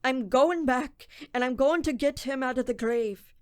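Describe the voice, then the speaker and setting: Woman as guilt-haunted young man, high voice, slow emphatic pleading, radio drama, dry close sound. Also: clear, resonant tone, no echo. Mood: desperate resolve.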